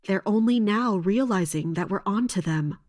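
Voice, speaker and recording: An actress as a steadfast female captain of mercenaries in a fantasy audio drama. Her mid-pitched speech is formal and measured. She stays respectful while standing her ground. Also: a little mellow, lightly acted. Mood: controlled, defensive. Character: loyal, resolute female mercenary captain serving royalty.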